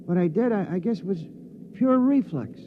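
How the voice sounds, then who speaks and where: A man's voice: high-pitched, animated, normal speed. Tinny-sounding, modest little man. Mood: shaken, modest. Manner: hesitant, polite, self-effacing. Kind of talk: 1950s radio drama acting. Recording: tinny, noisy 1950s radio recording.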